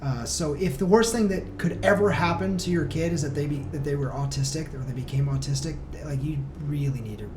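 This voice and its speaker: A man's voice: a little high, brisk, hardly any pauses, background noise. A man talking casually as a podcast guest, earnest and reflective, feeling his way through his phrasing. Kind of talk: casual conversational interview talk.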